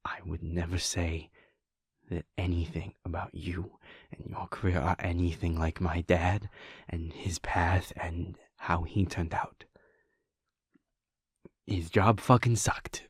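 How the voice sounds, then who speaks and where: A man voicing a caring male werewolf boyfriend in an audio roleplay, talking softly and earnestly, often half-whispered. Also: low-pitched, flat delivery, slow, breathy edge, dry recording, studio quality, full character voice. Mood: bitter.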